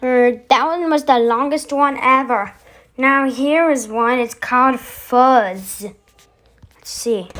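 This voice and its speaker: A woman's voice: high-pitched, slow, a bit bright. A woman hosting casually, musing aloud as she announces each step of a voice effects demonstration. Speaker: video host.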